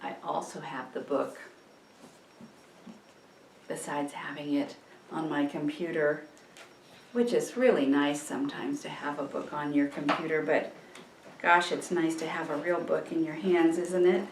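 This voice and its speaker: Slow-talking woman in an echoey room. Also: sits low, level tone. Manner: gentle, pondering, pauses mid-phrase, seeks agreement. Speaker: children's church lesson leader. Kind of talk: reflective talk to children about a story. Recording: echoey room with faint background noise.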